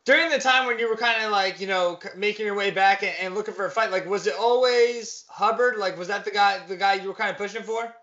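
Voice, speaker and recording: A man's voice: high voice, fast, few pauses, bright, forceful tone. A young man interviewing over a video call from indoors, asking easygoing questions with many fillers. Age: young adult. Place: indoors, plain wall. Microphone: webcam on a video call. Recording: dull video-call audio.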